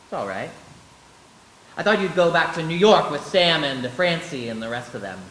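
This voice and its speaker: An actor as a prickly, awkward small-town young man in a radio drama. His tense, slightly high voice stammers along stubbornly in a roomy, echoing space. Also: sing-song, moderate pace, fairly bright tone. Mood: flustered, defensive. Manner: stammering, flustered. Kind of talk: live 1940s-style radio play acting. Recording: roomy stage with faint noise.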